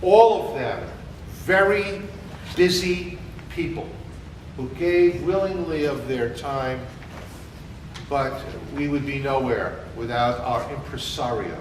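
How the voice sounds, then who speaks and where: A man speaks as a rabbi welcoming an audience, informal and halting, with dramatic repetition. His pitch is medium. He is far from the mic in a noisy, echoey space. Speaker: rabbi introducing the event. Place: temple hall, distant camera mic. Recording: echoey hall, distant mic, clear background noise.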